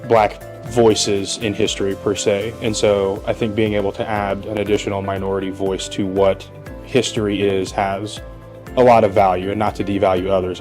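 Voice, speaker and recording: A man from Indiana, a history teacher, giving articulate, measured interview answers and often hedging with "sort of". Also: fairly low pitch. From Muncie, Indiana.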